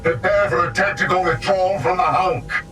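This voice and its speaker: An actor playing a steadfast space marine sergeant, giving authoritative, clipped military orders in a video game. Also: male voice, sits high, fairly even intonation, normal speed, sharp tone, far from the mic, faint music behind. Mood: urgent command.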